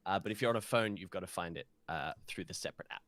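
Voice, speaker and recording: A man's voice: low-pitched, fast talker, fairly bright tone, dry recording. A man co-hosting a podcast, casually explaining a video game in hesitant, piecemeal phrases. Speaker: podcast co-host.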